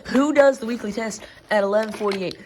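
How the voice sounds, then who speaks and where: A woman's voice: on the high side, fairly quick, darkish tone, a little hiss or hum. A woman chatting casually and playfully in a rambling home vlog. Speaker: vlogger showing weather radios.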